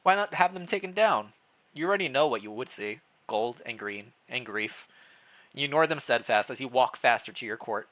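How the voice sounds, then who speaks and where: A man's voice: medium pitch, expressive, normal speed, fairly bright tone. A man narrating fan fiction expressively as a streamer, mixed with casual chat. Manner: stumbles over words while reading aloud, self-deprecating.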